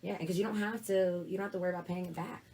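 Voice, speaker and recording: A raspy, very low-pitched woman speaks as a financial advisor guest on a talk show. She explains things in plain terms and keeps checking in with her listener, with faint room ambience behind her. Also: fairly even intonation, racing, darkish tone, slight background noise. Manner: explains with frequent "right?" check-ins.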